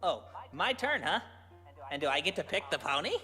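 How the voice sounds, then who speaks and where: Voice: mid-range voice, sing-song, moderate pace, bright, forceful tone. A performer voicing a playful, eager male cartoon pony, a killer's sidekick. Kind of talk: cartoon fan audio drama. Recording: reverberant, music behind the voice.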